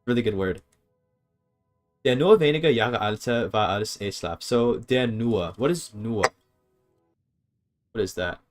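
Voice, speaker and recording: A man's voice: lowish voice, slow pace, pauses often, music in the background. A man casually streaming a game, thinking aloud as he reads his chat and works through grammar. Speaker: streamer learning a language. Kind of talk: casual live-stream commentary.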